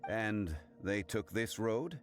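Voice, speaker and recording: An actor playing a composed, serious, politely measured investigator in an anime video game. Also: male voice, lowish voice, lively intonation, soft background music. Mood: thoughtful.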